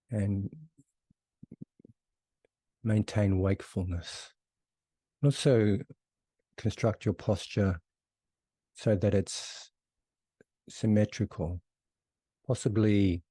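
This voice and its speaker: Male meditation teacher, very slow delivery in spaced phrases, slightly husky edge, close dry sound. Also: lowish voice, mellow, no room sound. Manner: unhurried, phrase by phrase with long pauses. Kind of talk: guided meditation.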